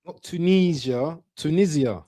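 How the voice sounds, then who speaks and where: Male football stream host, dark relaxed tone, dry recording, informal running commentary. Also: on the high side, a little slow, dry recording. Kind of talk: casual live match commentary and chat.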